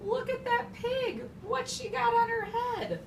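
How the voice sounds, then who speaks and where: A woman's voice: extremely high pitch, sing-song, measured pace, pitched above the performer's own voice, heavily acted. A woman voicing young storybook lambs who exclaim and jeer in a taunting, teasing manner. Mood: mocking, excited. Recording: roomy, distant, clearly noisy classroom recording.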